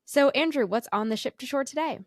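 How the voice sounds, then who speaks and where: A woman co-hosting a casual podcast, upbeat and quick to laugh. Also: high-pitched, no echo, clean studio sound. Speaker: podcast co-host, former cruise director.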